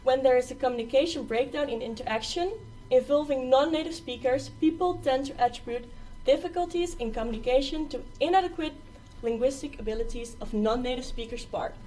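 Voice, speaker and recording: A woman's voice: sits high, a little fast, few pauses, warm tone, rough recording. A young adult woman, a student giving a prepared presentation. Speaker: student speaker. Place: small stage in a presentation room.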